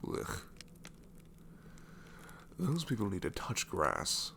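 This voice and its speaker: A man voicing a sassy gravelly shadow demon. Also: low-pitched, wildly swooping pitch, takes it slowly, a little hiss or hum, exaggerated voice. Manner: snarky, rambling, soft-spoken with whispered asides. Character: sassy male shadow demon, a father from hell. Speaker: ASMR roleplay performer. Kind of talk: ASMR roleplay.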